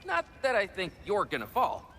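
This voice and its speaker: A man's voice: sits high, huge pitch swings, normal speed, bright, forceful tone, a little hiss or hum, exaggerated voice. A man playing a friendly, awkwardly candid guide in a video game, rambling along in a chatty way. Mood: awkward friendliness. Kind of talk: video game cutscene acting.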